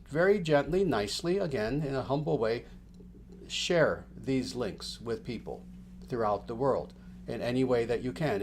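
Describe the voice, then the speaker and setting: Gentle rambling spiritual teacher, a man. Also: medium pitch, slight background noise. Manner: gentle, rambling, with many fillers and restarts. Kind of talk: livestream announcements to followers.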